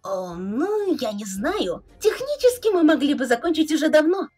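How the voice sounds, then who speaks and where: A woman's voice: sits high, very animated, moderate pace, warm tone, soft background music. A woman voicing a playful, bossy teenage alien troll girl in a theatrical webcomic dub. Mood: playful, encouraging. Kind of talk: fan dub of a webcomic chat.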